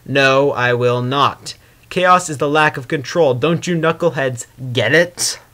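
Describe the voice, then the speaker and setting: A man's voice: mid-range voice, measured pace, sharp tone. A man voicing a vain, sarcastic, grumbling male spirit of chaos in a theatrical fan fiction reading. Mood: mocking. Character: Discord, male chaos spirit, sardonic, vain, wary prisoner. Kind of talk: dramatic first-person fan fiction reading.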